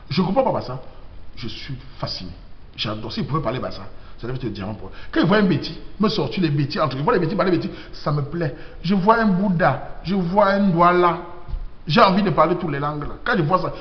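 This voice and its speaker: A man's voice: a little high, roomy sound, in a large hall, lo-fi sound. A man from Cameroon with a Cameroonian French accent, delivering an emphatic, preachy monologue full of rhetorical questions. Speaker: vlogger. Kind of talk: impassioned monologue.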